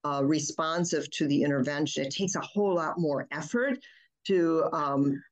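Pediatrician guest, woman, native German speaker raised in Michigan; low voice, halting explainer. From Michigan, USA. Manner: halting, many ums and restarts. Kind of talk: explanatory interview talk.

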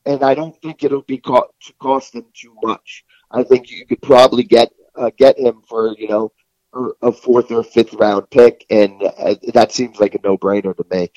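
Muffled, dry recording. Mellow male sports analyst, halting and casual, mid-pitched. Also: fairly even intonation, dry recording. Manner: halting, repeats words. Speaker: guest football reporter. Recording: muffled, lo-fi call sound.

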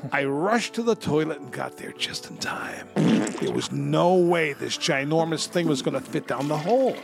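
A man's voice: a little high, measured pace, creaky, over music. A man co-hosting a podcast, chatting casually and crudely with comedic gusto and free swearing. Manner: animated, crude, swearing freely. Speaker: podcast co-host. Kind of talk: bawdy comedic casual chat.

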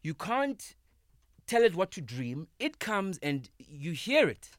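Thirties man on a studio mic, lively and emphatic podcast guest, slightly raised pitch, very dry sound. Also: slow, no room sound. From South Africa. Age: 30s. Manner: animated, emphatic, swears freely, repeats words. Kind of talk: casual podcast conversation. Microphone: studio microphone.

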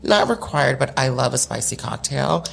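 A man's voice: mid-range voice, moderate pace, gravelly, a bit bright. A man guest co-hosting a casual podcast chat, explaining a drink recipe in a chatty, upbeat way. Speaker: guest co-host and drink maker. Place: podcast table in a home studio.

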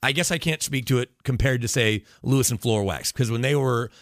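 A man's voice: medium pitch, fairly quick, fairly bright tone, no room sound, studio quality. An American man, a sports radio broadcaster guesting on a podcast, chatting casually and emphatically with lists and asides.

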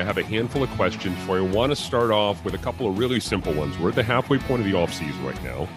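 A man hosting a sports podcast, delivering scripted intros and interview questions. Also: low voice.

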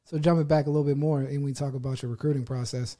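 A man's voice: mid-range voice, fairly even intonation, fast, warm tone. A male podcast host asking casual, rambling interview questions full of fillers.

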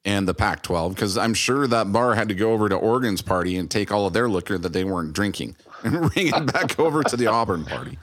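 Guy cohosting a chat, really low voice, thinks out loud a bit. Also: animated, brisk. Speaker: interview host. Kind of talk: casual chat.

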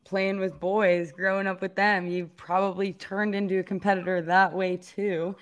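A woman's voice: medium pitch, level tone, normal speed. A woman co-hosting a sports podcast, introducing the guest and asking friendly questions. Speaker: podcast co-host.

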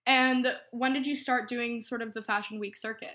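A woman's voice: on the high side, steady tone, moderate pace, pushed and bright. A woman hosting a casual interview, asking questions in a chatty, informal way. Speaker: podcast host interviewing a guest. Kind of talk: casual chat.

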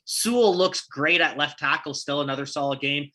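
A Canadian man co-hosts a relaxed sports chat, his voice husky yet bright and sitting a bit high. He leans on statistics and ends his points with open questions. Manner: stat-heavy, questioning, quick asides. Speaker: podcast co-host. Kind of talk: casual sports analysis chat.

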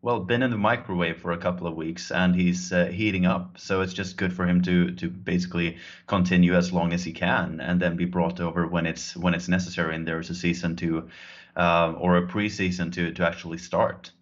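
A man's voice: low-pitched, little pitch movement, brisk. A man co-hosting a casual hockey podcast chat in an easygoing, friendly way, heard over a call. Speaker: podcast co-host. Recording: dull lo-fi call audio.

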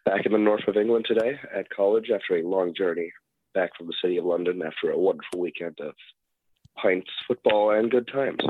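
A man's voice: low voice, brisk, husky, no room sound. A young man from Norway leaving a wry, self-deprecating voicemail as a caller. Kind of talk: voicemail monologue. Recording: tinny phone line.

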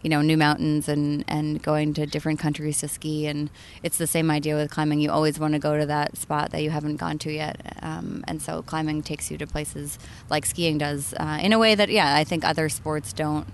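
A woman's voice: sits low, level tone, normal speed, hardly any pauses, slight background noise. A woman from Maine, a journalist in her thirties, chatting as an interview guest, laughing often and trailing into fillers. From Maine, USA. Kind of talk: casual interview conversation.

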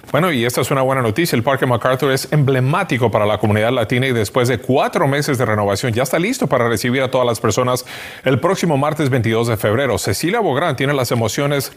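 Fast-talking male news anchor. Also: medium pitch, expressive. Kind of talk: scripted newscast introduction.

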